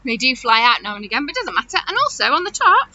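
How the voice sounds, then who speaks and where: A woman talking chattily to young children as their teacher, animated and full of questions and wonder. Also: high voice, quick pace. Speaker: children's teacher hosting story follow-up activities. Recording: dull audio with loud noise and clicks.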